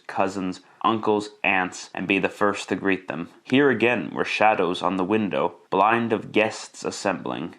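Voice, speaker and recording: A man's voice: fairly low pitch, fairly bright tone. A man playing the storyteller of a Victorian Christmas tale, reading scripted narration in classic radio style.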